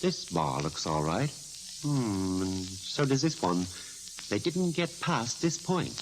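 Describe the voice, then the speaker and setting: Casting: affected, theatrical male sidekick for vintage radio crime comedy, very animated pitch, noisy recording. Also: slow pace. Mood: curious. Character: flamboyant, fussy male friend and sidekick of a detective. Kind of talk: 1940s radio acting.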